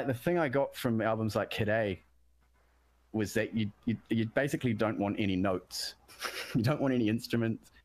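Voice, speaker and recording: A deep-voiced man, a musician guest from New Zealand, chatting casually about production and often restarting his sentences. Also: no room sound. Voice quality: deep bass voice. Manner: rambling, self-interrupting, searching for words.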